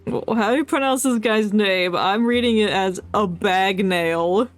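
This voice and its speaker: Playful woman streamer over soft game music. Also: mid-range voice, unhurried, dry recording. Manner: playful, talks back to game characters. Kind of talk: casual joking game commentary.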